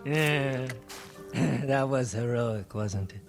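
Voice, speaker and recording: An actor playing an excitable, roguish sake merchant and hapless schemer in a video game cutscene, wheedling and theatrical. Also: male voice, mid-range voice, takes it slowly, over music, natural voice. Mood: ingratiating.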